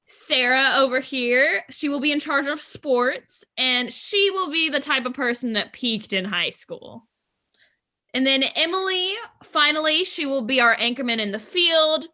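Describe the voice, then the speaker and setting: A woman's voice: high-pitched, bright, forceful tone. A woman introducing an improv comedy game, explaining its roles in a chatty, upbeat way. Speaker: improv performer and game announcer.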